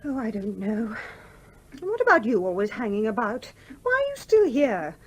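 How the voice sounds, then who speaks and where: A woman's voice: fairly high pitch, huge pitch swings, moderate pace, breathy edge, exaggerated voice. An actress in a radio play playing a genteel, scrupulous mother who is polite but flustered and argumentative. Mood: puzzled, questioning.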